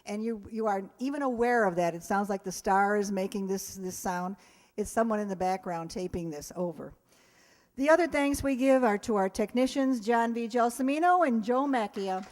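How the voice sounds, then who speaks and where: A woman's voice: mid-range voice, moderate pace, a little mellow. An American woman, director of a theater group, giving a chatty thank-you speech directly to her audience and cast. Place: live stage performance in a hall. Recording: echoey hall with audience sounds.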